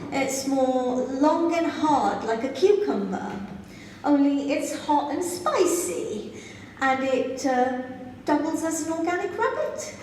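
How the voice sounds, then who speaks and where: High, playful woman comic in an echoey club. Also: sing-song, takes it slowly, background noise. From Zambia. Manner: playful, giggles at her own jokes. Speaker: stand-up comedian. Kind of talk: stand-up comedy set. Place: comedy club stage. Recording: echoey club sound from far off.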